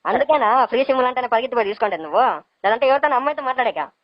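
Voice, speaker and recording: Lady pretending to be a bossy stern cop on a dull phone recording, high quick voice, rayalaseema telugu accent, scolding and threatening. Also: lively intonation, no room sound, natural voice. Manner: bossy, interrogating. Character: stern, scolding, threatening female police officer on a prank call. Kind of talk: prank phone call.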